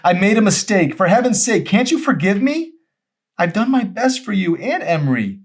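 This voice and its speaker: A man voicing a desperate, anguished husband and fallen pastor, emphatic and often shouting, in an audiobook. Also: high-pitched, sing-song, moderate pace, pitched above the performer's own voice. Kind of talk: dramatic audiobook character acting.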